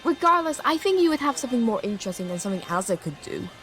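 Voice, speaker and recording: Woman as a prim, skeptical, animated young lady. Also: fairly high pitch, very fast, soft background music. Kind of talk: audio drama acting.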